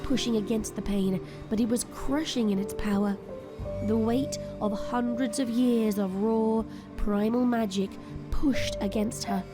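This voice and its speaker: A woman's voice: fairly high pitch, steady tone, measured pace, music in the background. A woman narrator delivering dramatic scripted storytelling for an audio drama.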